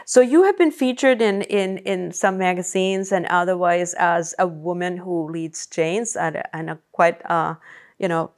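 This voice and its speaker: A woman hosting an interview, asking measured questions and rephrasing them as she goes. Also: on the low side, darkish tone. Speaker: podcast host.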